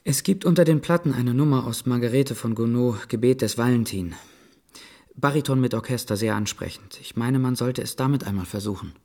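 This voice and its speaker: An actor playing a polite, reserved young gentleman who speaks in a courteous, measured way in a radio play. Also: mid-range voice, close to an everyday voice. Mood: earnest, hesitant.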